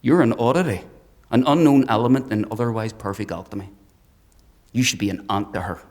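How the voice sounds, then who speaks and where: An actor playing a sadistic, fanatical villain in a dark fantasy audio drama, with taunting, declamatory delivery and a gravelly voice. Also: male voice, mid-range voice, fairly quick, close to an everyday voice. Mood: menacing, taunting. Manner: taunting, declamatory, swings from hushed to screaming. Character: sadistic zealous male villain, menacing, theatrical, fanatical.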